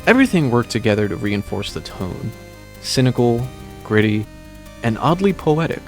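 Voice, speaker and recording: A man reading a scripted video essay in a dry, wry, measured way. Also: medium pitch, very animated, a little mellow. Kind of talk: scripted narration. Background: background music.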